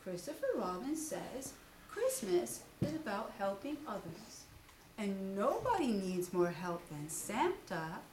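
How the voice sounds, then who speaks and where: A woman voicing a gentle, slow-witted, good-natured male toy bear in a mild, musing storybook manner. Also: medium pitch, sing-song. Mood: mild, hopeful. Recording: distant echoey room with faint noise.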